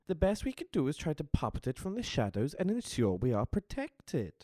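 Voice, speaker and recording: A man's voice: on the high side, warm tone, dry recording. A man playing a sly, impudent vampire seer in an audio drama, speaking in a florid, mock-courteous, dramatic manner. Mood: condescending.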